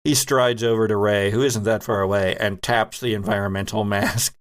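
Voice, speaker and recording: A man's voice: lowish voice, steady tone, normal speed. Man narrating as game master. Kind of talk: narrating a tabletop role-playing game.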